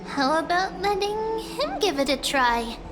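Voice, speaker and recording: Tiny, very high-pitched cute companion. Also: female voice, big pitch swings, measured pace, full character voice. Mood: eager, chirping. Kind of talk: scripted video game acting. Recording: clear music behind.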